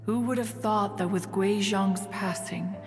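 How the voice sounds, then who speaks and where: A proud, austere immortal of great age, performed by a woman for a fantasy video game. Her mid-pitched voice is formal and pompous, like a learned elder holding court. It rings with long hall reverb over music. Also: steady tone, a little slow, lightly acted. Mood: indignant, solemn. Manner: formal, pompous, calls herself "one". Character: ancient, proud, haughty female immortal adeptus, austere, learned.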